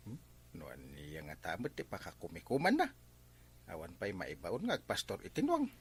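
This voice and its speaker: A man's voice: sits low, huge pitch swings, normal speed, husky, heavily acted. A man with an Ilocano accent acting a mischievous, blustering village captain in a comedy drama, full of exclamations. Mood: evasive. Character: village captain, mischievous pleasure-seeking comic husband.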